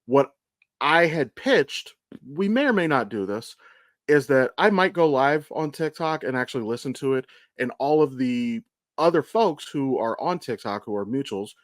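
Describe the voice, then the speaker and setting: A man's voice: mid-range voice, no room sound. Man chatting casually, very dry close sound. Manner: blunt, sweary enthusiasm. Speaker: podcast co-host and amateur voice actor. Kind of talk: casual podcast chat.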